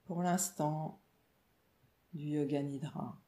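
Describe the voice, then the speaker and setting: A woman meditation teacher leading a guided relaxation in a calm, soothing, instructional way, with short phrases and pauses. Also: low-pitched. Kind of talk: guided yoga nidra relaxation narration. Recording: roomy, echoing voice recording.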